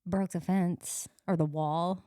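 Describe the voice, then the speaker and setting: A woman's voice: on the low side, steady tone, warm tone, no room sound, studio quality. A woman co-hosting a podcast in a casual chat, joking and going off on tangents. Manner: playful, joking, quick tangents. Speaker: podcast co-host.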